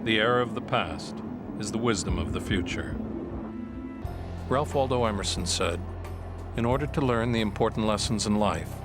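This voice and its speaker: A male actor playing a serious, reflective criminal profiler, reciting quotations in a measured TV drama voice-over. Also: on the low side, natural voice. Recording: music under the voice.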